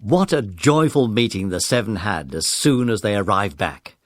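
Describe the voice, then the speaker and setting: A man's voice: fairly low pitch, lively intonation, moderate pace, dry recording, studio quality. A man narrating a scripted children's adventure story in a dramatic, listener-friendly storytelling manner. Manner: dramatic, addresses the young listeners.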